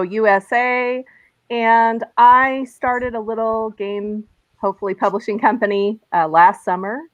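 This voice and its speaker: A woman's voice: medium pitch, dry recording. A woman who runs a small game company, chatting on a video-call panel and readily asking the group questions. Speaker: game designer and publisher. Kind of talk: casual panel chat.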